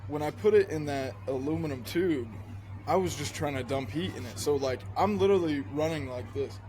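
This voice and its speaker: A man's voice: on the high side, fairly quick, a little mellow. A man hosting a podcast, laid-back and casual, with lots of fillers and casual swearing. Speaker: podcast host. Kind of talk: casual interview chat. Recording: noisy background.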